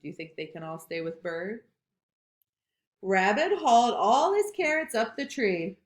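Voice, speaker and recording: A woman reading a picture book aloud to children, slow and expressive, stopping to ask questions. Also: medium pitch. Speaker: read-aloud storyteller. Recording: roomy echo.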